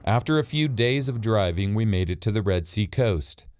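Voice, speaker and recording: A man's voice: lowish voice, expressive, normal speed, darkish tone, no echo, clean studio sound. A middle-aged man who grew up in Saudi Arabia, explaining and narrating as the host of an expedition documentary vlog.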